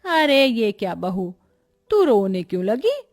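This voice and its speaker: A woman voicing a middle-aged Indian mother-in-law in a story, gentle and motherly. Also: a little high, sing-song, slow pace, soft background music, full character voice. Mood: caring.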